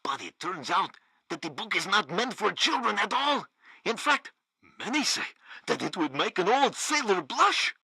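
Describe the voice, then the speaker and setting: Gleefully loyal state radio host: bright, forceful, swooping delivery, pompous and sycophantic, game news satire. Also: male voice, sits high, big pitch swings, normal speed, vocal fry, slightly rough, no room sound, full character voice. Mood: gossipy. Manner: grandiose, sycophantic.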